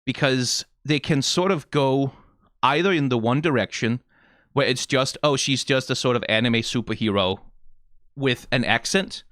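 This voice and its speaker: A young man speaking English with a strong Danish accent in a relaxed reaction video. His pitch sits in a middling range, and the recording is close and dry with no room sound. He is wry and playful, and he drops swear words for emphasis. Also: dry recording. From Denmark (Funen). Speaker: YouTuber reacting to a game trailer. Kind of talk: casual commentary.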